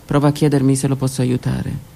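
A middle-aged woman, a stage actress, performing a dramatic monologue that swings from hushed whispers to raised declaiming. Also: a bass voice, level tone, brisk, vocal fry, slight background noise. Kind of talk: theatrical monologue. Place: radio studio.

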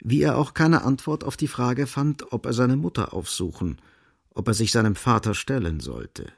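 A man playing the sombre narrator of a literary radio drama, reading with grave, measured and deliberate phrasing. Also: medium pitch, expressive, vocal fry, breathy edge, dry recording, studio quality. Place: studio.

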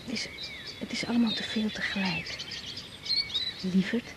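An actress playing a young woman doctor in a radio drama, teasing and cynical, going soft and hushed. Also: medium pitch, fairly even intonation, normal speed, heavy background noise, natural voice. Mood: tender. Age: young adult. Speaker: general practitioner. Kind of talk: 1980s radio drama acting.

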